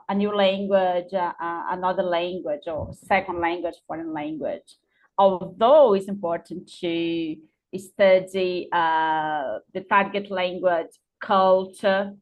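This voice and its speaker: A Brazilian woman, an English teacher, answering students' questions thoughtfully with a Brazilian Portuguese accent and frequent pauses and restarts. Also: medium pitch, muffled recording. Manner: thoughtful, many uh fillers and restarts. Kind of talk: answering students' questions over a video call.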